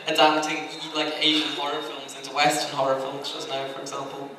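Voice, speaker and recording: A man's voice: a little high, completely flat delivery, brisk. A man speaking as a panelist, giving his opinions in an informal, conversational way. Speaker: convention panelist from anime industry. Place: convention panel room, picked up from afar. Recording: very echoey room, distant mic, noisy.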